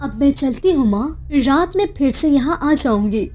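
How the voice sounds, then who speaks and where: A woman voicing an innocent, devoted young orphan girl in an animated moral story, emotional, pleading and halting. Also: sits high. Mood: earnest, determined. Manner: pleading, tearful, halting repetitions.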